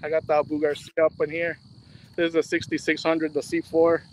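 A laid-back man talks about his fishing gear from a dark riverbank, ending sentences with "man". His voice sits fairly high for a man. He sounds relaxed and easygoing, in casual live-stream chat. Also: brisk, slight background noise, rough recording. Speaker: guest angler. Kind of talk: casual chat on a video call. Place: riverbank at night, outdoors.

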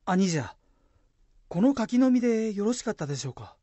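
A woman's voice: medium pitch, big pitch swings, moderate pace, dark tone, no room sound, lo-fi sound. A woman acting in a Japanese radio drama as an earnest, devoted young samurai lord who uses formal, archaic speech. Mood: eager, polite.

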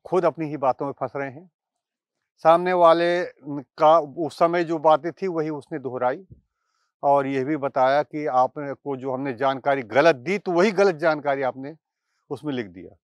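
A man, giving halting explanatory commentary to camera with deliberate pauses. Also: on the high side, no room sound. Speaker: presenter. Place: outdoors by water and trees.

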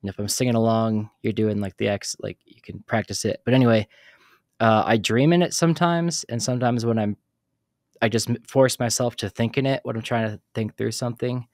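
A young man hosting a podcast from a small dark room on a studio mic, chatty and given to telling his own stories while asking questions. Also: medium pitch, steady tone, brisk, no echo. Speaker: podcast host. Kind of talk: casual interview chat. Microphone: studio mic on arm, headphones.

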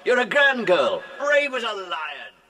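A man's voice: high-pitched, wildly swooping pitch, a little slow, background noise, exaggerated voice. An actor playing a kindly, jovial man in a children's audio drama, with a hearty, exclamatory manner. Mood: warm amusement.

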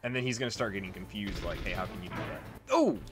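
A man with a fairly low, animated voice commentates casually on a video game he is playing. He swears freely and sounds exasperated when things get hard. Music plays clearly behind him. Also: fast talker. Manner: profane, exasperated when struggling. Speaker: let's play host.

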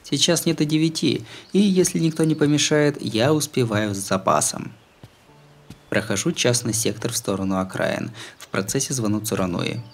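A man's voice: mid-range voice, moderate pace, creaky. A man narrating a first-person novel in a wry, conversational way. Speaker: audiobook narrator. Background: music behind the voice.

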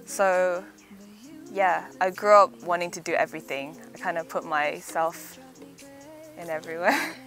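Young woman, relaxed interviewee, slight American lilt, lowish voice, faint music under her. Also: unhurried. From Hong Kong. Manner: chatty, reflective, laughs easily. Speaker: interviewee, singer-songwriter. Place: outdoors in a back garden.

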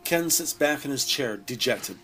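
A man's voice: medium pitch, expressive, normal speed. A man narrating a scripted audio drama in descriptive prose. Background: background music.

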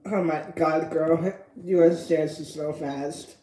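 A woman's voice: low voice, steady tone, unhurried, dark tone, slight background noise. A woman playing an irritated, blunt schoolmate in an amateur audio roleplay. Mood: exasperated. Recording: roomy, echoing home recording.

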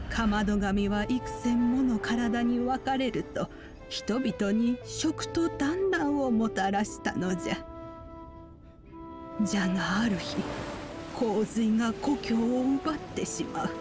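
A wise old woman of a fantasy game, recounts ancient legends with kindly gravity. Her voice sits fairly high and moves slowly, in old-fashioned elderly phrasing. Music plays clearly behind her. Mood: solemn storytelling. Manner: archaic elderly speech, measured and solemn. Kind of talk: Japanese video game storytelling, solemn narration.

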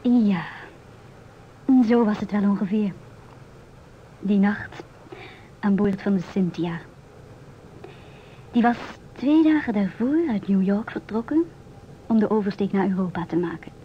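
An actress playing a musing, independent stewardess in her twenties in a radio drama, speaking in a hushed, often near-whispered voice. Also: medium pitch, expressive, a little slow, pauses often, natural voice. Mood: dreamy recollection. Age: 20s. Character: musing, independent 27-year-old divorced ship stewardess. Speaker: narrator and stewardess. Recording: muffled old radio recording, clear hiss.

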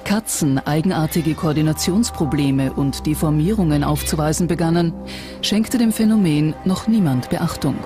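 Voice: medium pitch, moderate pace. Documentary narrator over music. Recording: music bed under the voice. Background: background music.

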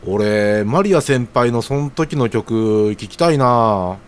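A man's voice: medium pitch, expressive, slow pace, smooth. An actor playing an earnest, hesitant young man in an audio drama, speaking in a casual, deferential junior's way. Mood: eager. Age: young adult.

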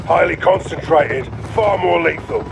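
An actor playing a blunt, stoic special forces soldier in a military game, terse and deadpan. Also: male voice, a little high, measured pace, gravelly, noisy background. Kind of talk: cinematic military game acting. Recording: long reverb, music behind.

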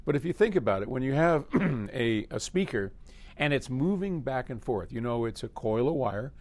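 A man, explaining things casually to camera in an unhurried, thinking-aloud way as host of a question-and-answer video. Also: mid-range voice, lively intonation. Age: 70s. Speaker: company head hosting a question-and-answer video. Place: large indoor office workspace with hard floor.

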